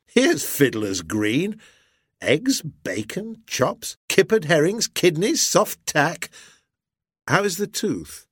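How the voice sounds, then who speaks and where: A man's voice: a little high, sing-song, slow pace, fairly bright tone, husky, no room sound, studio quality, voice pushed up, exaggerated voice. A man voicing a hearty, beaming sea captain in an audiobook, with an exuberant, bluff manner and old-fashioned nautical phrasing. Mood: hearty, jovial.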